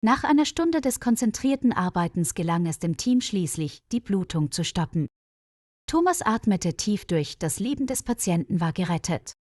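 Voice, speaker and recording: A woman narrating a scripted story in a clear, measured way for learners. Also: very animated, a little mellow, dry recording, studio quality. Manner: clear, measured reading with quoted dialogue lifted higher.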